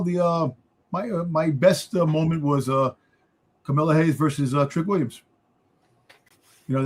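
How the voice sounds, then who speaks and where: A man with a slightly high voice chats as a panelist on a sports fan show. He banters casually and repeats phrases to press his point.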